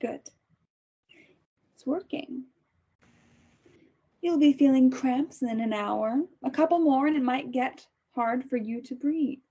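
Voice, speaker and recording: A woman voicing a calm, clinical woman who holds a captive and experiments on her, reading in a table read. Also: sits high, slow pace, long pauses, breathy edge, full character voice. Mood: cold. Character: coolly menacing woman doctor-kidnapper Beth. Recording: dull lo-fi recording.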